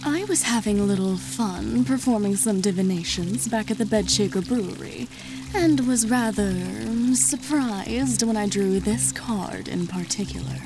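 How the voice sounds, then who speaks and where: A woman's voice: mid-range voice, a little slow, over music, lightly acted, poor audio. A woman voicing a sly, elegant female serpent-folk fortune-teller in an audiobook, speaking formally and with amusement. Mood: sly, amused. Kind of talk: audiobook character voice.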